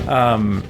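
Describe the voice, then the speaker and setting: A man streaming a video game, giving casual let's-play commentary and thinking aloud in rambling, chatty asides. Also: medium pitch. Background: video game music.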